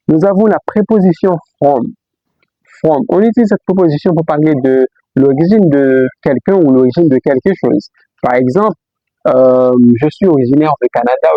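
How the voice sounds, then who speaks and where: A man teaching a beginner language lesson, repeating example sentences slowly and checking in with "ok?" and "let's go, guys". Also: a little high, creaky, no echo. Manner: repeats example sentences slowly, switches between Creole, French and English; frequent "ok?" and "let's go, guys" prompts. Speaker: English teacher. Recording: dull lo-fi dry recording.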